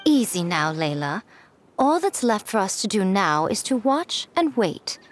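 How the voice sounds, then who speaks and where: A sly, smug female priestess from a video game, speaking with calm condescension. Her mid-pitched voice rises and falls expressively. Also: unhurried, faint music behind. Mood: composed. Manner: mocking, composed, condescending. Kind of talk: video game cutscene dub.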